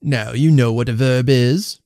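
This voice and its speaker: A man's voice: mid-range voice, unhurried, darkish tone, no room sound, clean studio sound, natural voice. A man voicing a proud, fussy mother who admonishes in a storybook reading. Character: proud, correcting mother of a small boy. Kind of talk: storybook character voice.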